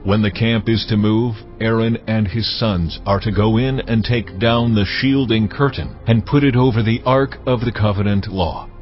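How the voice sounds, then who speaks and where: A man playing God in a dramatized scripture reading, giving solemn commands in a measured, authoritative, formal way. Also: on the low side, steady tone, lightly acted. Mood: solemn, commanding. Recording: slightly muffled, music underneath.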